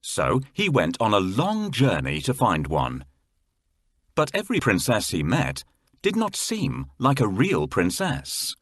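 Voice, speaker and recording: A low-voiced man tells a fairy tale with huge pitch swings. His delivery is dramatic and husky, in scripted storybook style over faint music. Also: measured pace, no room sound, studio quality. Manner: dramatic, expressive.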